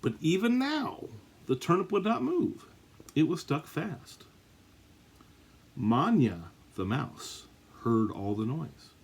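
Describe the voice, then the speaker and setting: A man with a husky voice reading a children's storybook aloud, with dramatic, drawn-out emphasis. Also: on the high side, big pitch swings. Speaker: story reader. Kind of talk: animated read-aloud of a children's picture book.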